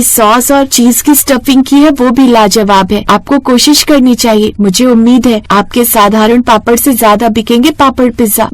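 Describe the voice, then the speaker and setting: A woman's voice: on the high side, lightly acted, low-quality recording. A woman playing a sweet, eager young daughter in a children's story.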